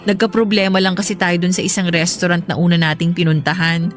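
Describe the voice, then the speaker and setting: A woman's voice: lowish voice, level tone, quick pace, close to an everyday voice. A woman playing a practical, contented girlfriend in an audio love drama, with a conversational, reasoning manner. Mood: fond, chatty. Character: contented adult girlfriend, practical, unconvinced about marriage. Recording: music bed behind the voice.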